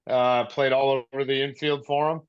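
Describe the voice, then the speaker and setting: A man's voice: medium pitch, level tone, normal speed, clean tone. An American man in his forties, chatting casually on a podcast in an earnest, hesitant way, as a coach and former player. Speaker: high school baseball coach, former player. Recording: slightly dull, lo-fi call audio.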